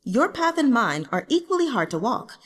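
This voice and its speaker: A woman's voice: high voice, sing-song, normal speed, fairly bright tone, in a large hall, voice pushed up. A woman voicing a self-mocking, burdened young emperor in an audiobook, formal and solemn, with regal phrasing. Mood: bitter, solemn. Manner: formal, solemn, speaks in the royal we. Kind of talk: audiobook character dialogue.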